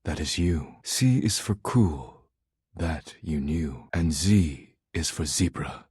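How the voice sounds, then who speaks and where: A man voicing an earnest, proud poet in a video game, speaking in formal, solemn, stilted diction with a husky voice. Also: a bass voice, lively intonation, takes it slowly, darkish tone, no echo, studio quality, heavily acted. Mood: reciting verse. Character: earnest, proud male poet devoted to dishware, formal and intense. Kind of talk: video game character voice acting.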